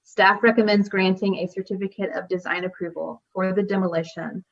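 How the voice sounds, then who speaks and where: A woman on city staff presenting a report to a hearing in a formal, measured way. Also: mid-range voice, flat delivery, gravelly, muffled recording.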